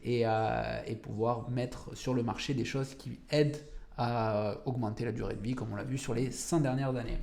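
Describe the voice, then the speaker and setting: A man's voice: mid-range voice, steady tone, moderate pace, gravelly, a little mellow. A young adult man from France, a cancer researcher speaking as a podcast guest, who slips into English words and searches for French ones. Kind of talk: podcast interview.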